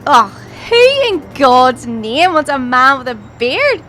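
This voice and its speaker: A woman's voice: high-pitched, big pitch swings, takes it slowly, bright, forceful tone, a little hiss or hum, exaggerated voice. A young woman playing a cheeky, sarcastic student in an audio drama, bantering casually. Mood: dismissive, sarcastic. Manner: teasing, sarcastic. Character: stylish young Irish student, cheeky and dismissive of boys. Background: music.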